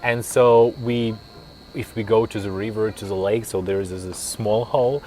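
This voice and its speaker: A fairly low-voiced young man from Kazakhstan, a native Russian speaker talking in English. He answers interview questions in a thoughtful, halting way, pausing on fillers and starting sentences over. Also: fairly even intonation, a little mellow. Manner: thoughtful, hesitant with many fillers and restarts. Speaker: interview guest, linguist.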